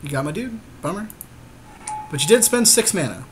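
A man's voice: sits high, sing-song, moderate pace. A man chatting casually about a game in a laid-back, agreeable, slangy way. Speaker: podcast co-host playing a card game. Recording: faint background noise.

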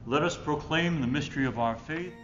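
Higher-voiced older priest in an echoey church. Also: male voice, music in the background. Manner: solemn, measured phrasing. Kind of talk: liturgical prayer recitation. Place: indoors in a church, at the altar. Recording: echoey church reverb, muffled, rumbling noise.

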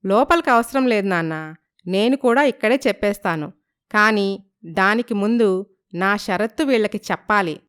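Casting: woman for forthright young bride, crisp clean tone, hopeful and strong-willed, moral-story dialogue. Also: mid-range voice, normal speed, a bit bright, lightly acted. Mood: firm.

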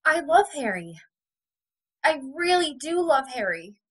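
A woman's voice: high-pitched, big pitch swings, unhurried. A woman playing a reluctant, earnest young mother in an audio drama.

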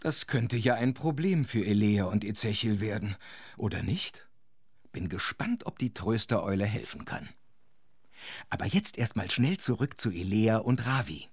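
An actor playing a wry, chatty storyteller who narrates a children's audio drama with playful asides. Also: male voice, on the low side, animated, normal speed, a little hiss or hum, close to an everyday voice. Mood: wry, animated.

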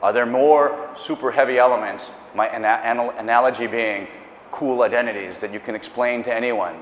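Middle-aged guy giving a math talk, excited, chatty asides, echoey hall, kinda dull sound. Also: mid-range voice, brisk, a little hiss or hum. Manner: enthusiastic, animated gestures, pacing the stage; conversational with asides and self-corrections. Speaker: math lecturer. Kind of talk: public math lecture. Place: lecture hall. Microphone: lapel mic.

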